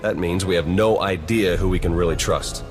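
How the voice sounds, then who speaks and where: A male actor plays a pragmatic young spy in a game cutscene. His voice sits low, with a dry, casual, matter-of-fact delivery. Music plays clearly behind him. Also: moderate pace, natural voice. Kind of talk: video game voice acting. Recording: loud game music underneath.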